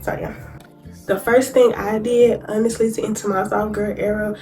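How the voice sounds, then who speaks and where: A woman's voice: medium pitch, fairly even intonation, normal speed, darkish tone, faint music behind, low-quality recording. A young woman with vlogging to camera, sitting down. She is congested from a cold, and she talks casually to her viewers, calling them y'all. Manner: chatty, addresses viewers as y'all, reads notes from her phone. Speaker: YouTuber. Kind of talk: sit-down casual vlog talk to camera. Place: indoors, sitting down.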